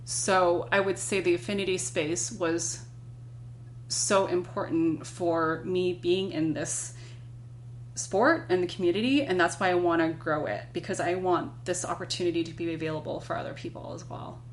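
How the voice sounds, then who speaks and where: A non-binary person in their thirties, a podcast guest, speaks earnestly and reflectively, telling a personal story in a measured way. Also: medium pitch, steady tone, slight background noise. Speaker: podcast guest, climbing guide. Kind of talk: conversational interview.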